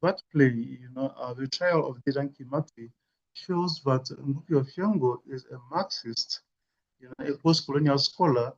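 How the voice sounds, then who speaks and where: Male webinar lecturer, mid-pitched, measured delivery with many fillers, muffled call audio. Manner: measured, with frequent "you know" and "uh". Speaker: webinar speaker. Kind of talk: academic lecture. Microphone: video call.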